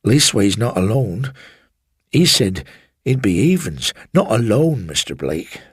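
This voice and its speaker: A man's voice: on the low side, very animated, takes it slowly, slightly rough, no echo, studio quality, pitched above the performer's own voice, heavily acted. A man voicing a simple, frightened young male servant, hesitant and pleading.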